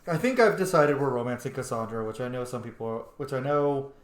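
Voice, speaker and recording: A man's voice: mid-range voice, lively intonation, a little fast. A man giving casual, rambling, profane commentary as he streams a video game. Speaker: gameplay streamer. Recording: roomy echo, some background noise.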